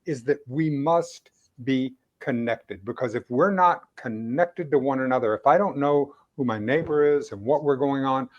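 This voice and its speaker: A man hosting a conversational interview, speaking earnestly and haltingly, often repeating phrases for emphasis. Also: mid-range voice, highs cut off. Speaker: interviewer, host.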